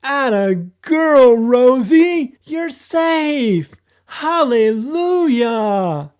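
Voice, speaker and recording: A man voicing a hot-tempered boy who yells and sobs over his lost dog. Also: high voice, animated, measured pace, vocal fry, voice pushed up, heavily acted. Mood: excited. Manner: yelling, sobbing outbursts. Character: hot-tempered grieving boy, loud and shrill.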